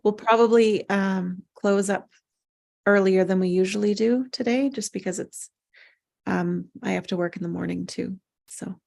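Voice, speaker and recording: Creaky-voiced woman hosting casual chat. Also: medium pitch, steady tone, moderate pace, darkish tone. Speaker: host of an online table read.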